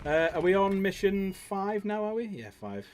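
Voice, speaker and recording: Animated northwest English host, sweary. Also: male voice, high-pitched, normal speed, over a noisy crowd. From Widnes, northwest England. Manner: sweary, jokey banter. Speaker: livestream host. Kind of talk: casual gaming chat. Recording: noisy background.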